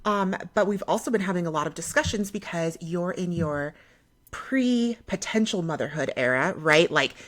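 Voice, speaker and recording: Young woman, American accent, slightly lower pitch, podcast host, casual, explanatory, checks in often. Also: lively intonation, normal speed. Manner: chatty and explanatory, often checking in with "right?" and "okay?". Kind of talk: casual conversational podcast talk.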